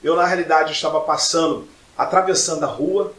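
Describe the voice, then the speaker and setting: A man's voice: on the high side, fairly quick, fairly bright tone, echoey room. A man, middle-aged, giving personal testimony as a podcast guest in an emphatic way, repeating phrases for effect. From Brazil. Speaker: podcast guest, pastor and preacher.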